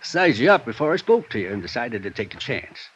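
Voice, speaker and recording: An old backwoods prospector. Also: male voice, mid-range voice, very animated, brisk, fairly bright tone, husky. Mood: folksy. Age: older. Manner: drawling rural backwoods dialect. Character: old lone male mountain prospector, folksy and shrewd. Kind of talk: 1950s radio drama acting. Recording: dull old radio broadcast with faint hiss.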